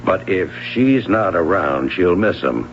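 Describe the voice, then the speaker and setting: An offhand swimming coach deflects questions with an easy, guarded casualness. His voice sits extremely deep. The old radio broadcast sounds muffled, with a faint hum behind it. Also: animated, unhurried, vocal fry, full character voice. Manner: casual, evasive, chuckling. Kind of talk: 1970s radio drama acting.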